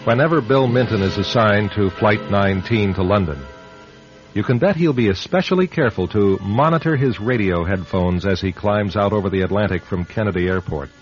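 A man hosting a radio drama with measured, suspenseful scripted narration. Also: on the low side. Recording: muffled old radio with music. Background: loud music.

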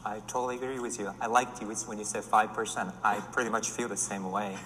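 Minister in his 40s, flat low voice, soft, reverberant room. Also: a little fast, slight background noise. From Japan. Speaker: Buddhist minister. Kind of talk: panel discussion.

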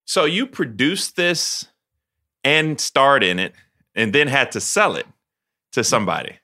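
Bright, playful male podcast host. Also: medium pitch, very animated, measured pace. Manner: playful, joking, slangy. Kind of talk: casual interview chat.

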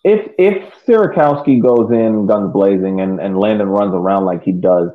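Lowish male sports pundit, phone-like sound. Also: moderate pace. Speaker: sports podcast panelist. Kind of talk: casual match analysis. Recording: thin, phone-like connection.

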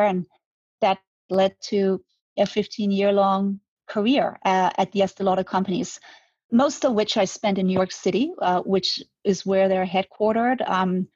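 A woman's voice: medium pitch, a little mellow, no echo, highs cut off. A woman, talking reflectively as an interview guest, often restarting her words. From Denmark. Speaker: guest, brand co-founder. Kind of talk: conversational interview.

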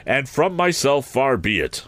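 A humble, earnest working man, voiced by a man reading a story, muddling through roundabout formal phrasing. The voice rises and falls in lively swings. The reading is dry and close. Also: medium pitch, unhurried, dry recording, voice pushed up. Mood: earnest, halting. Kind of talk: audiobook character voice.

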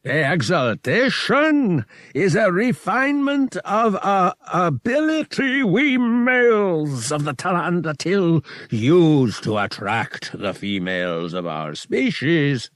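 Alien high priest, audiobook, performed by a man: pompous, self-satisfied, slow, pitch swooping upward. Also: big pitch swings, fairly bright tone, no echo, clean studio sound, full character voice. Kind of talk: audiobook character dialogue.

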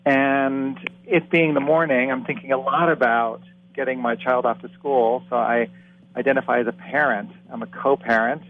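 A man introducing himself thoughtfully on a panel, pausing with frequent ums, heard over a phone line. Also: mid-range voice, fairly even intonation. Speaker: coach educator. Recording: tinny phone-line audio.

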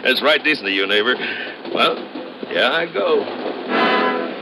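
A man's voice: medium pitch, very animated, loud music behind the voice. An actor playing a chatty, hapless but good-natured traveling salesman, with a folksy American manner and some stammering, in old-time radio style. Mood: jovial. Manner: folksy, stammering, drawn-out homespun talk. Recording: noisy vintage radio recording.

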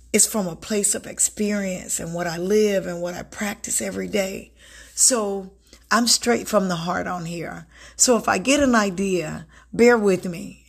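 A woman with a raspy, husky voice hosts a heartfelt self-help podcast. She speaks slowly and deliberately, often repeating key words, with a gravelly fry and a slight strain left over from being sick. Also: mid-range voice. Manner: earnest, repeats words for emphasis. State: recovering from illness, slightly strained voice. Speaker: podcast host.

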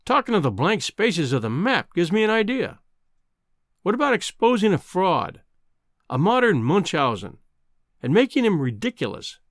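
A man voicing a kindly, crabbed old Scottish newspaper editor in a storybook reading, with a measured, avuncular manner. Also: sits high, sing-song, no echo, clean studio sound. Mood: scheming. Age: older.

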